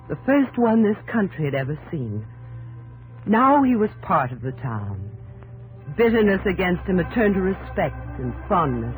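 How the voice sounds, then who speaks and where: A woman's voice: medium pitch, lightly acted, low-quality recording. An actress playing a devoted wife who looks back on life with her husband, in the style of 1950s radio drama narration. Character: devoted wife of a mill pioneer, reminiscing. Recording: old 1950s radio broadcast, music behind.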